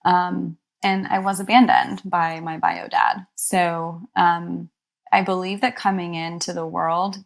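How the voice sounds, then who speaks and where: Young adult woman, therapist guest, reflective, slightly low, fry in the voice. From Egypt. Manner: soft, thoughtful, many pauses and ums. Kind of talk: reflective interview talk.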